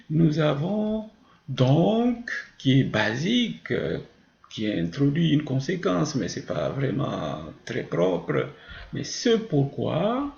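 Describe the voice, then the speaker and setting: Gravelly male French teacher in an echoey room. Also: a little high. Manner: didactic, asks and answers his own questions. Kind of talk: grammar lesson with spoken examples. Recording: muffled, roomy, echoing sound.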